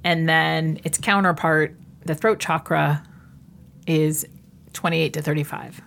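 A woman with a low, gravelly voice leads an intuitive reading in casual conversation. She listens with steady affirmations and explains her points patiently. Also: slow, a little hiss or hum. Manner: warm encouraging listener, frequent mm-hmms. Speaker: medical intuitive host.

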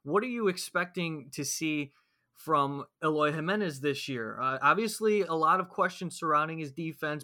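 A man's voice: on the high side, bright, forceful tone. A man hosting a sports podcast, setting up questions in a conversational interview style.

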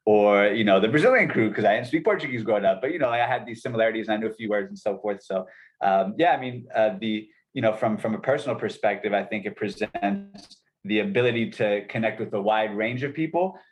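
A man's voice: lowish voice, expressive, fast, rough recording. A young man from Maryland, a podcast guest, chatting casually and rambling with lots of restarts. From Montgomery County, Maryland, USA. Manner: rambling, many restarts and fillers. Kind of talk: casual interview chat.

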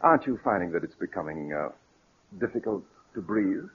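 An actor playing a scheming, falsely solicitous murderer in a 1940s radio mystery, with theatrical, hesitant politeness and a coaxing undertone. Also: male voice, deep voice, expressive, brisk, a little hiss or hum. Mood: cold, gloating. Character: smooth, scheming male murderer, nervy yet falsely solicitous. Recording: muffled, lo-fi 1940s radio recording.

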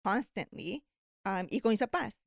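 A woman's voice: medium pitch, expressive, a little slow, creaky, no echo, clean studio sound. A woman hosting a podcast, reacting eagerly and telling stories while mixing Spanish and English. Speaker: host. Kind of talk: casual bilingual conversation.

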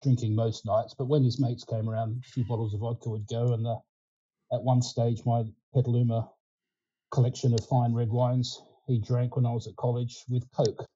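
A man's voice: mid-range voice, normal speed, breathy edge, highs cut off. A man in his sixties with an Australian accent, a Ukrainian first language, chatting loosely as an interview guest with many fillers. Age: 60s. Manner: relaxed and rambling, many fillers and restarts. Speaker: guest, winemaking consultant. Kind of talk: casual interview chat.

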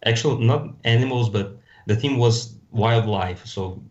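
A man from Brazil with a Brazilian Portuguese accent, a Portuguese speaker being interviewed on a podcast, often restarting his sentences. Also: medium pitch. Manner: halting, restarts often, relaxed. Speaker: guest artist. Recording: slightly dull, lo-fi sound.

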